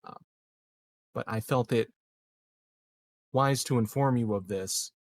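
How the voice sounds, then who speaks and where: Calculating sci-fi colony boss played by a man, mid-pitched, formal, diplomatic and guarded, tabletop roleplay. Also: no room sound. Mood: measured, guarded. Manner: formal, measured, halting diplomatic phrasing. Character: calculating, evasive male colonial leader, smooth politician. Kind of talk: improvised tabletop roleplay dialogue.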